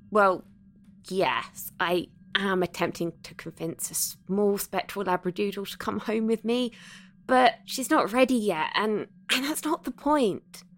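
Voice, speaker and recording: A woman playing an eager, flustered, soft-hearted ghost in a conversational audio drama. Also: mid-range voice. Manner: hesitant, conversational, easily sidetracked.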